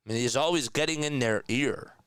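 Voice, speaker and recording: Man, casual live basketball play-by-play, slightly raspy and nasal from a sore throat, smooth resonant tone, dry close mic. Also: medium pitch, brisk, a bit bright, no room sound. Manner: conversational, reads and answers chat comments. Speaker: play-by-play commentator.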